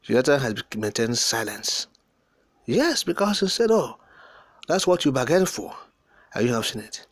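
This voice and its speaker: A man's voice: medium pitch, sing-song, moderate pace, long pauses, no echo. A man with a Nigerian accent giving impassioned, unscripted political commentary in an emphatic, oratorical way, repeating and piling up words for emphasis.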